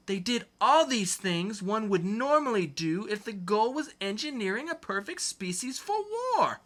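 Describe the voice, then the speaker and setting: A man's voice: high voice, expressive, moderate pace, a bit bright. A man voicing a whiny, grandiose dictator villain in a melodramatic, pompous comic-book reading.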